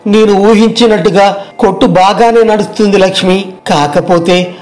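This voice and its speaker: A man plays a young village tea seller from a moral tale, his voice notably high. He speaks in earnest, pleading speeches, determined and aggrieved. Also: fairly even intonation, moderate pace, a little mellow, natural voice. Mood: indignant, troubled. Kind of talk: acted moral story dialogue.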